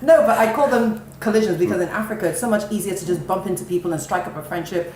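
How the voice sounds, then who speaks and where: A woman guest in a casual panel chat, quick and chatty with frequent laughter. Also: mid-range voice, darkish tone, a little hiss or hum. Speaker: guest, traveler. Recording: echoey room.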